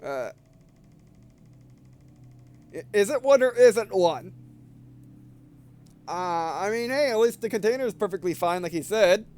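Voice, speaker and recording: A man's voice: sits high, expressive, unhurried, long pauses, a little mellow. A man reading visual novel text aloud with casual commentary as a let's player, stumbling over words as he goes. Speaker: video game let's player.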